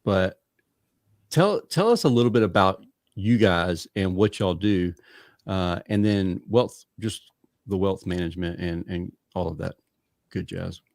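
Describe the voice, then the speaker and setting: A man's voice: deep voice, a little slow, vocal fry, no echo, clean studio sound. A man hosting a podcast, chatting loosely and jokingly with lots of fillers. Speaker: podcast host. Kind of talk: casual interview chat.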